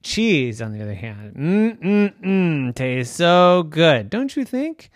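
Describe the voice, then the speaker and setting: A man's voice: on the high side, big pitch swings, dry recording, studio quality, pitched above the performer's own voice. Cheddar, a man doing a sugary, sly housecat. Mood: coaxing. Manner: sugary, coaxing, drawn-out words. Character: sweet, sly female house cat.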